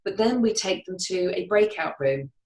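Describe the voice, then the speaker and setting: A woman's voice: medium pitch, expressive, moderate pace, a little mellow. Enthusiastic woman in an echoey room. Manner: enthusiastic, laughs often. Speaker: guest, networking event organizer. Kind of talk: casual video-call chat. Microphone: video call. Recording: roomy echo, distant from mic.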